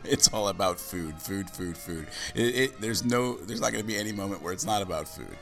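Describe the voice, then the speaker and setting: Deep, scratchy-voiced male podcast host. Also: lively intonation, fairly quick, vocal fry, a bit bright, soft background music. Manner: chatty, enthusiastic, many fillers. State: hoarse from a cold. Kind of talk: casual chat.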